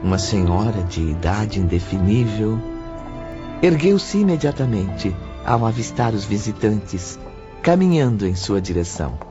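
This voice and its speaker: A man narrating an audio drama in a slow, expressive storytelling manner. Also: fairly low pitch. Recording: muffled lo-fi audio with music.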